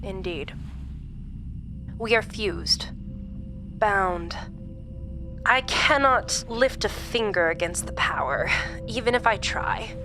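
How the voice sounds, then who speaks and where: An actress playing a sinister supernatural woman captor in a horror audio drama, calm, theatrical and mock-polite as she gloats and schemes. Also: mid-range voice, slow, long pauses, soft background music, exaggerated voice. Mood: gloating, confiding.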